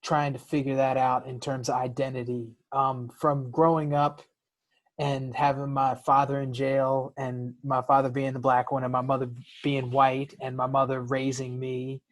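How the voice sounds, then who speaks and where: A man's voice: medium pitch, flat delivery, normal speed, low-quality recording. A man co-hosting a podcast, speaking reflectively in casual conversation, with frequent restarts and repeated words. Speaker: podcast co-host.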